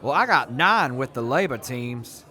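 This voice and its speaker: A man's voice: mid-range voice, lively intonation, a little slow, pushed and bright. A man voicing an earnest, dutiful former army major who is polite and gets flustered when cornered, in an audio drama.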